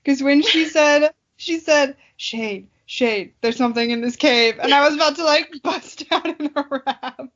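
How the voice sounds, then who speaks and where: Young woman, comedy podcast co-host. Very high voice, unhurried, teasing and giggly, slightly lo-fi sound. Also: fairly bright tone. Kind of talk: casual comedic banter.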